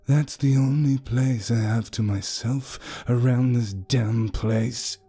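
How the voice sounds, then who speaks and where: A man voicing a gruff, surly woman who guards her own room. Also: mid-range voice, steady tone, slow, mellow. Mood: snappish.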